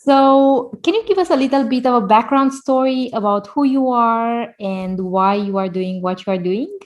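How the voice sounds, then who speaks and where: A woman's voice: a little high, lo-fi sound. Woman hosting a healing podcast. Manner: measured, reads the guest intro. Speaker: podcast host. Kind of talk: scripted introduction then interview questions.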